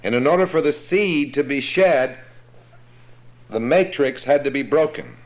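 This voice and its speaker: A man teaching a Bible class in a sermon style, explaining slowly and checking that his listeners understand. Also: a little high, poor audio.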